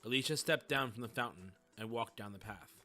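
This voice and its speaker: A man's voice: fairly low pitch, a little fast, bright, forceful tone, faint music behind. A man giving scripted narration of a story in the third person.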